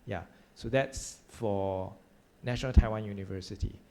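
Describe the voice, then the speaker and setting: A man lecturing at a university, chatting casually over a hybrid video call, talking haltingly with many fillers and laughing often. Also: fairly low pitch, steady tone, gravelly, dark tone. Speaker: lecturer hosting the class. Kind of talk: casual chat. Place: university room.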